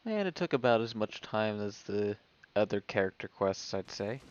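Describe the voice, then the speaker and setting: A man's voice: fairly low pitch, very animated, moderate pace. A man giving casual, wry commentary as he streams a game. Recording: dull, noisy stream microphone.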